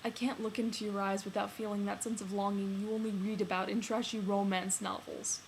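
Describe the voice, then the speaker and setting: A woman performing a dramatic monologue as a lovesick man confessing love to a friend, earnest and halting, with emphatic swells. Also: medium pitch, level tone, close to an everyday voice. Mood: pleading, tender. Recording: noticeable background noise.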